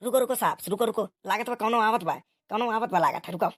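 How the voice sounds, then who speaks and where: A woman's voice: mid-range voice, fast, no echo, close to an everyday voice. Woman voicing exclamatory rustic cartoon cop, dry sound. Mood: bantering, grumbling. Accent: eastern Uttar Pradesh. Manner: colloquial, exclamatory, bantering. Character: bickering, bribe-hungry village policemen in a comic cartoon. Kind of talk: comic cartoon dialogue in rustic dialect.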